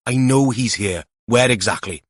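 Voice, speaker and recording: A man's voice: mid-range voice, normal speed, breathy edge, no echo, clean studio sound, pitched above the performer's own voice, close to an everyday voice. A man playing a tense, determined policeman who speaks in terse commands. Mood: urgent, commanding. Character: tense, determined policeman keeping himself under control.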